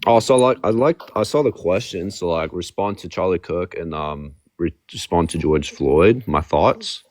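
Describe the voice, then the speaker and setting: A man calls in and answers hesitantly, leaning on fillers. His voice is low and the sound is dry. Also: lively intonation, moderate pace, darkish tone, no echo. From Washington State, raised in Australia. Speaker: caller.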